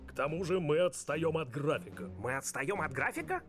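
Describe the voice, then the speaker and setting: A man voices a self-important, goofy cartoon turkey. The voice is fairly high and animated, blunt and comic, with music behind it. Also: moderate pace, lightly acted. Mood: urgent comic.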